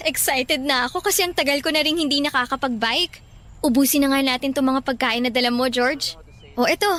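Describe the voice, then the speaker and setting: An actress playing a cheerful, playful young student in a Filipino radio drama, bubbly and teasing, with a Filipino accent. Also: female voice, high-pitched, a little fast, bright, forceful tone. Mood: excited, sweet.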